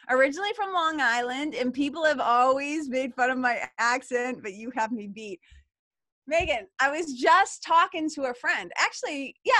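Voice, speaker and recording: A middle-aged woman with a Boston accent, co-hosting a live webinar and chatting warmly with viewers in the chat. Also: sits high, dry recording. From Massachusetts. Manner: bubbly, chatty, enthusiastic. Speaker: webinar co-host.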